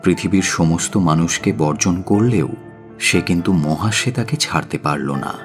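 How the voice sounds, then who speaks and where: A man narrating a dramatic audio story in a measured, solemn and expressive way. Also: low-pitched. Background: faint music.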